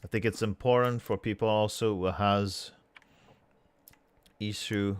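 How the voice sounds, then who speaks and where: Casual male streamer, lowish voice, trips and restarts mid-sentence. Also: steady tone, clear, resonant tone. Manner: halting, self-correcting, thinking aloud. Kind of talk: casual live-stream commentary.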